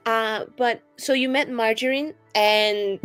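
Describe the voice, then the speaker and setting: A woman's voice: fairly high pitch, steady tone, slow, a bit bright, clean tone. A woman playing a tabletop roleplaying game, improvising casually in a playful, rambling, joking way. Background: music behind the voice.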